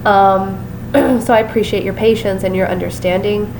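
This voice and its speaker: A woman's voice: mid-range voice, steady tone, normal speed, gravelly, noisy background. Stuffy-nosed woman reading tarot cards. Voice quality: stuffy, nasal. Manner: apologetic, chatty, many fillers. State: sick with a sinus infection, sniffly. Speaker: tarot reader. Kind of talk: casual tarot reading to camera.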